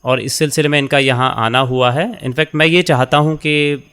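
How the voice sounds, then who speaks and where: An actor playing a warm, talkative middle-aged senior editor in a radio drama, encouraging and conversational, mixing in English phrases. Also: male voice, mid-range voice, steady tone, moderate pace, clean tone, lightly acted. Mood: welcoming.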